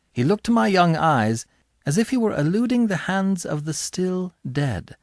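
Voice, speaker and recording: Very expressive male audiobook narrator. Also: medium pitch, dry recording, studio quality. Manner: expressive, deliberate storytelling. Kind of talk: dramatic scripted narration.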